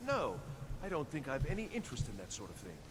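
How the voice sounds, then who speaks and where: An actor playing a wary, disapproving college mage in a fantasy video game, incredulous and dismissive. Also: male voice, mid-range voice, wildly swooping pitch, fast talker, heavy background noise.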